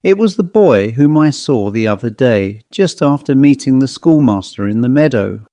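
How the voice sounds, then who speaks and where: A man narrating a Victorian ghost story as a scripted audiobook, in a measured, dramatic storytelling manner. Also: mid-range voice, expressive, warm tone, dry recording.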